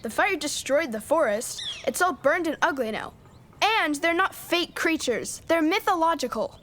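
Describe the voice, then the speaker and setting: A woman's voice: high voice, expressive, moderate pace, fairly bright tone, slight background noise. An actor playing a bookish, sarcastic young boy who is mad about mythology, eager or whiny, in an audio adventure drama. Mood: sulky. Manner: eager, whiny when bored.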